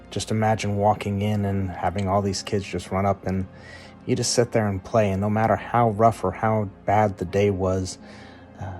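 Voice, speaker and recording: Low-voiced man with slow, even delivery. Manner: halting, pausing often. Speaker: volunteer doctor giving a testimonial. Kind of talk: personal testimonial.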